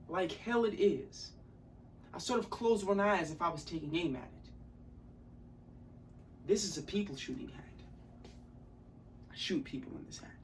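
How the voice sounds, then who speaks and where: A young man acting an intense, articulate character in a theatrical monologue, with emphatic delivery and deliberate pauses. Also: high voice, fairly bright tone. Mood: intense, brooding. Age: young adult. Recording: distant mic, audible room noise.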